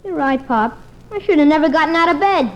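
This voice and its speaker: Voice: animated, quick pace, a little hiss or hum. An actor playing an eager, imaginative young boy with slangy exclamations in an old radio comedy-drama, on a muffled recording. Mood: worried. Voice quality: high, youthful voice. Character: eager, imaginative young boy sick in bed, anxious schemer.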